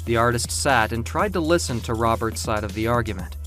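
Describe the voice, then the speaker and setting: A man's voice: medium pitch, lively intonation, a little fast, a bit bright, soft background music. A man reading scripted, dramatic fiction as the narrator of an audio story.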